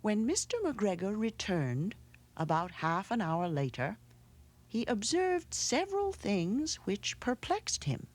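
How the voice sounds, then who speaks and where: A woman narrating a children's storybook aloud in a measured, dramatic way, with hushed pauses. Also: mid-range voice, very animated. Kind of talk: expressive storybook read-aloud.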